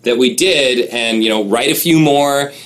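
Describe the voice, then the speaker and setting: A man's voice: medium pitch, unhurried, fairly bright tone, rough recording. A man speaking as a guest in a casual interview, easygoing and agreeable. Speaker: guest, children's party musician.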